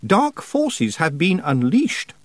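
A prim, fussy older lady, put on by a man reading a storybook, all high gushing false sweetness. Her voice swoops up and down widely. The sound is close and dry. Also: wildly swooping pitch, slow, no echo, exaggerated voice. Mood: falsely sweet.

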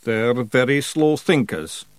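A man's voice: mid-range voice, lively intonation, slow pace, no room sound, pitched above the performer's own voice. A man voicing a big, plain-spoken, grumbling fairy warrior from a storybook, blunt and matter-of-fact, with a Scottish accent. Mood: dismissive.

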